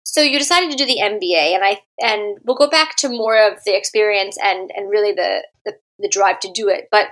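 A woman hosts a podcast, voice at a middling pitch. She reads a prepared introduction and then puts polite, measured questions to her guest. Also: a bit bright, poor audio. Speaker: podcast host. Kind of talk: scripted intro and interview questions.

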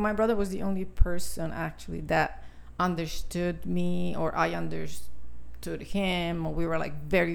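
A woman in her sixties from Italy, speaking English with an Italian accent as a podcast guest, talking in a halting way with many fillers and restarts. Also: on the low side, vocal fry. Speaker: podcast guest, spiritual teacher. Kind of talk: casual conversation.

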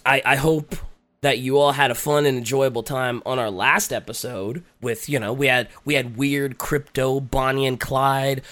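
A man co-hosting a podcast, talking casually with a raspy, congested voice while getting over a cold, hesitant and full of fillers. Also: medium pitch, fairly bright tone. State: recovering from a cold. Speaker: podcast co-host. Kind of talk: casual chat.

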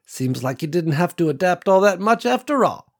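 A warm, wise old woman who has worked her land for decades, as a male narrator puts her on. She talks in an unhurried, folksy way, full of endearments, in a voice lifted somewhat above his own. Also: sits high, very animated, pitched above the performer's own voice, exaggerated voice. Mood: gentle. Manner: gentle folksy drawl with endearments.

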